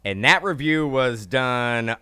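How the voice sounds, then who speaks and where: A man's voice: medium pitch, lively intonation, slow, bright, forceful tone, clear, resonant tone, dry recording. A man co-hosting a podcast in a studio, rambling and restarting, filling his talk with "like" and "um". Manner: rambling, restarts often, lots of "like" and "um". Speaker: podcast co-host. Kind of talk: casual hobby podcast chat.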